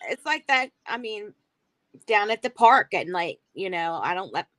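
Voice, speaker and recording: A woman from Baltimore, a guest in a casual chat, talking easily and filling her pauses with "you know". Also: mid-range voice, lively intonation, a bit bright, no echo. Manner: conversational, fills every pause with "you know".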